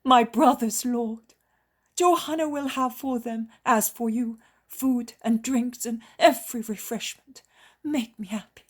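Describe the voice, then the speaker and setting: A woman voicing an overjoyed healed noblewoman who cries out her devotion in a high, loud voice. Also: steady tone, unhurried. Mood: ecstatic.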